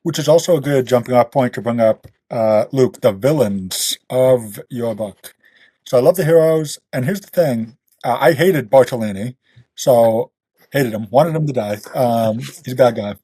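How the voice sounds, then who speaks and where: A man's voice: medium pitch, moderate pace, warm tone, slightly rough, no room sound. A man with a mid-range voice in a dry, close recording. He hosts a book chat in a blunt, slangy way, hammering points home by saying them twice. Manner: blunt, emphatic, slangy, repeats for emphasis. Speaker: podcast host. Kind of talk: casual podcast discussion of books.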